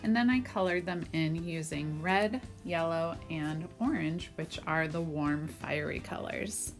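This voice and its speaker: A woman's voice: deep voice, a little slow. A woman art teacher narrating a children's craft tutorial, explaining simply and step by step. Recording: music behind the voice. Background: background music.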